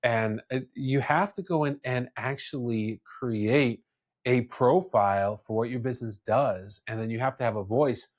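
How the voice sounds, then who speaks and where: A man's voice: mid-range voice, a little slow. A man hosting a podcast, talking casually and explaining with personal examples, often repeating words as he goes. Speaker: podcast host.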